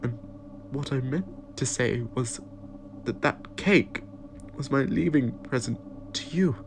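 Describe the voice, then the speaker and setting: A man acts out an older woman in an audio story, her voice lifted high. She is fond, fussy and quick to exclaim. There is clear noise behind her. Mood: wistful.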